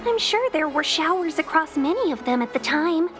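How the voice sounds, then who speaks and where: Curious young woman, video game dialogue, high voice, analytical, clear music behind. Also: very animated, moderate pace. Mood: thoughtful.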